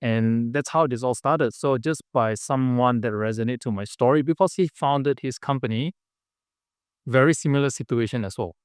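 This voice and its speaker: A man from Malaysia telling his story as a podcast guest, earnest and halting, with many fillers and restarts. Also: medium pitch, clear, resonant tone, dry recording, studio quality. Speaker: podcast guest, business founder. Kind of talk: casual interview storytelling.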